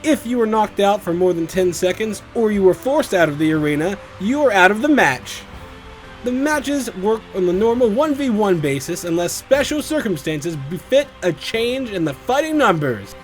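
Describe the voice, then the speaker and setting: Man playing a high, animated arena fight announcer. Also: moderate pace, soft background music. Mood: cheeky, hyping. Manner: showman, chatty, cheeky. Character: showy, cheeky male tournament announcer, hyping the fights. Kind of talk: theatrical arena fight commentary.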